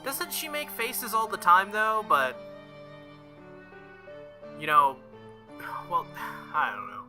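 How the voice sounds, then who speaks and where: A man's voice: high-pitched, huge pitch swings, takes it slowly, sharp tone. A man hosting a gaming playthrough, reading visual novel text aloud and adding casual commentary, with game music behind him. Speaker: gaming channel host.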